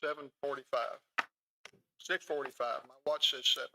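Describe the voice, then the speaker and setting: A man's voice: mid-range voice, steady tone, normal speed, bright, forceful tone, low-quality recording. A man chairing a council meeting as mayor, formally reading items aloud. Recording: public meeting recording, faint noise.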